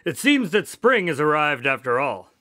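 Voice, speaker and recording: An actor playing a courteous, curious doctor and detective's sidekick in a radio drama, with a polite manner. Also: male voice, a little high, big pitch swings, normal speed, pushed and bright, no echo, studio quality. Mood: genial, storytelling.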